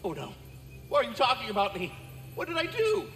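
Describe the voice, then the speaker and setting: Nervous tiny squirrel ghost, super high, wildly swooping, fretting. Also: male voice, huge pitch swings, brisk, fairly bright tone, big-room sound, slight background noise, heavily acted. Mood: panicky worry. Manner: jittery, worried, fretting. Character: anxious, fretful little spirit squirrel.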